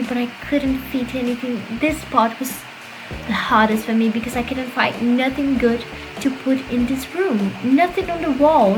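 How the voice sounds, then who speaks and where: A woman's voice: sits high, low-quality recording. A woman whose first language is Portuguese, chatting casually and rambling a bit as she talks over her own video, often critical of her work. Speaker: game building creator. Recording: voice-over with clear background music. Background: background music.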